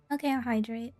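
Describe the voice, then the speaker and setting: Slightly high-voiced woman streaming a game. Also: warm tone, no room sound. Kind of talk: casual live gameplay reaction and reading along.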